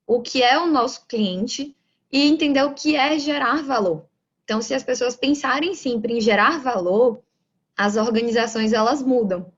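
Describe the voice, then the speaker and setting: A woman from Bahia with a Bahian Brazilian accent, giving a lecture on management and often adding rhetorical tag questions. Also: a little high. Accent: Bahian Brazilian Portuguese. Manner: explanatory, frequent rhetorical "né?" tags. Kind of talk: online lecture. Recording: dull lo-fi audio.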